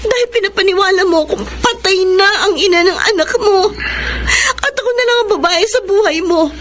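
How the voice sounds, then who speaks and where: A woman's voice: very high voice, fairly quick, fairly bright tone, a little hiss or hum. An actress playing a heartbroken young woman confronting her unfaithful boyfriend, tearful and pleading, in a radio drama. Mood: hurt, accusing. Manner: tearful, pleading, breaking into sobs. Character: heartbroken young woman betrayed by a cheating lover. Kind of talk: 1990s-style Filipino radio drama acting. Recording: radio drama with music underneath.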